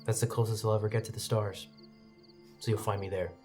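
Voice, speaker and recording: A man playing a gentle, dreamy young swordsman in a tabletop game, speaking softly and earnestly. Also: fairly low pitch, fairly even intonation, brisk, soft background music, lightly acted. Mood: soft, wistful.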